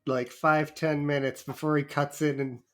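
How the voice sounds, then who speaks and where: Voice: medium pitch, little pitch movement, moderate pace, faint music behind. A player in a tabletop game chatting casually over voice chat, laid-back and laughing along with the others. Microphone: home microphone on a voice call.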